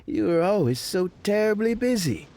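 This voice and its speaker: A man's voice: high voice, sing-song, moderate pace, a little mellow, a little hiss or hum. An actor playing a kindly, teasing old man with an amused, drawling delivery in a video game. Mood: genial, teasing. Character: genial, teasing old uncle, kindly and unhurried. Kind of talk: anime-style video game acting.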